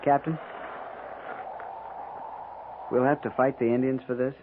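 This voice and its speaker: A man's voice: medium pitch, flat delivery, quick pace, close to an everyday voice. A man with an American accent playing an earnest, troubled junior cavalry lieutenant in a 1950s radio western, questioning his superior deferentially. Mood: dismayed. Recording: muffled, noisy 1950s radio recording.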